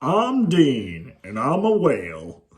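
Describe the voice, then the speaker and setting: A man's voice: medium pitch, huge pitch swings, takes it slowly, pitched below the performer's own voice. Man playing a friendly whale puppet. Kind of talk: children's puppet show.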